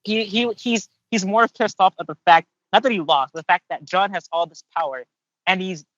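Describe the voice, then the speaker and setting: A man's voice: high voice, normal speed, a bit bright, no room sound, dull audio. A man on a casual podcast chat, thinking aloud hesitantly and restarting his sentences often. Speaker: podcast co-host and voice actor.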